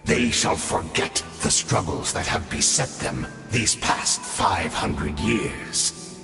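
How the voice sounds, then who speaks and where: A man voicing a menacing, gloating herald of an abyssal order in a video game, grandiose, deliberate and condescending. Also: a bass voice, animated, gravelly, full character voice. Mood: triumphant. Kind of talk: theatrical video game villain acting. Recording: echoing hall reverb, music behind.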